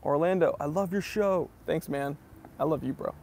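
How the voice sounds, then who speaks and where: A man's voice: a little high, moderate pace, vocal fry, a little mellow, a little hiss or hum. A man from New England hosting a casual livestream Q&A. He reads viewer comments aloud and answers them in a friendly, easygoing way. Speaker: host of a watch channel.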